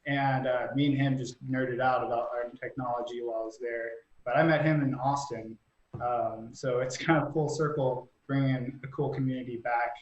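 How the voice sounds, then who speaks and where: A man's voice: mid-range voice, fairly even intonation, normal speed. A man hosting a live stream in a casual, chatty style. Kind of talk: casual chat. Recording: roomy, dull lo-fi call audio.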